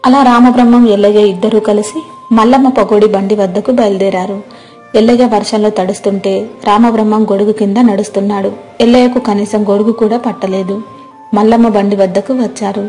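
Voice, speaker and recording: A female narrator reads a scripted moral story. Her voice is middle-pitched and dark-toned. Quiet music plays behind the narration. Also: fairly even intonation, fairly quick.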